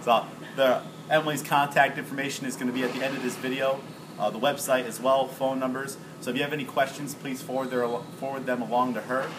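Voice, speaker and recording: A man's voice: medium pitch, steady tone, fast talker. A man in his thirties hosting a casual, upbeat interview, filmed on a camera from across an indoor room. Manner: upbeat, chatty, gestures while talking. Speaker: host. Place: bright indoor room with glass wall. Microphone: far camera. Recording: camera audio with loud background noise.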